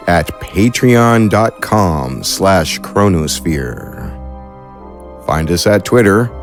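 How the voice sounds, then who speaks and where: A man reading scripted podcast credits as the announcer, in a measured, formal way. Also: low-pitched. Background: music.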